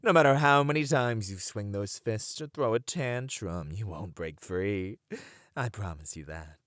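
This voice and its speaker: A man playing a gloating, seductive supervillain in an ASMR roleplay, theatrical and grandiose, savoring words and often dropping to a breathy near-whisper. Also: fairly low pitch, lively intonation, measured pace, fairly bright tone, no echo, clean studio sound. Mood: gloating, taunting. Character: gloating seductive male supervillain taunting a caged hero. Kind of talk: ASMR villain roleplay monologue.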